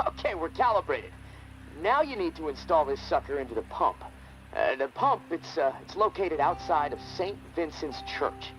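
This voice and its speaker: A friendly, nervous technician in a video game, coaching a survivor. His voice sits fairly high and jumps around with excitable energy. He hesitates and stammers eagerly, with faint music underneath. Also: moderate pace, rough recording. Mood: excited, chatty. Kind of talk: naturalistic video game voice acting.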